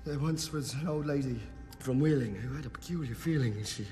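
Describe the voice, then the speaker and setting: An actor playing a terrified young English captive with an English accent, stammering pleas and forcing out jokes. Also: male voice, mid-range voice, fairly quick, mellow, soft background music, lightly acted. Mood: desperate bravado. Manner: pleading, stammering, then forced jokey bravado. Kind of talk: film drama acting. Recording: reverberant hall with film score.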